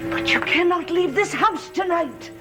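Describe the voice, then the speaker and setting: A woman's voice: very high-pitched, unhurried. A woman playing a devoted, anxious servant in an old gothic television soap, speaking with grave, urgent deference. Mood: anxious, pleading. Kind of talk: 1960s-70s gothic soap opera acting. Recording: old TV soundtrack with loud background music.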